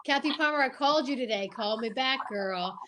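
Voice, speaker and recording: A woman with a fairly high voice co-hosts a chatty live show. She is excitable and effusive, often exclaiming. Her talk is casual banter. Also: brisk, noisy background. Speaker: co-host of an online comedy show.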